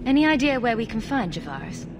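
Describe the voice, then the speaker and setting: A woman playing a calm, diplomatic adventurer heroine in a video game, courteous and direct. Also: fairly high pitch, fast talker, noisy background. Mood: calm, inquiring. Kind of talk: video game cutscene dialogue.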